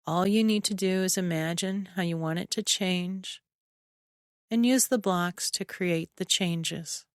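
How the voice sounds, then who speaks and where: A woman narrating a scripted guided relaxation in a soothing, measured way, with short phrases and long pauses. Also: fairly low pitch, no echo, studio quality. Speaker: guided imagery meditation narrator.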